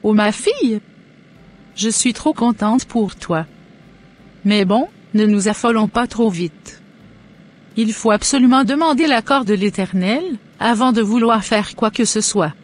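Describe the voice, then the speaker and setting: A woman playing a pious, joyful middle-aged mother in an animated religious story, speaking in solemn, formal blessings. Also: on the high side, a little slow. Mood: glad, careful.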